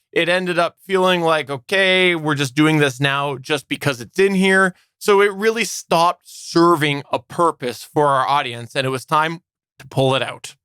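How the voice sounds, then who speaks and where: Bright, higher-pitched male podcast co-host. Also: clean tone, no room sound, clean studio sound. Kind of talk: semi-scripted podcast discussion.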